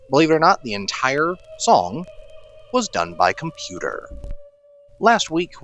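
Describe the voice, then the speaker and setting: A man presenting a music radio show in a chatty, halting way with animated emphasis. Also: lowish voice, a little hiss or hum. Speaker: radio horror show host.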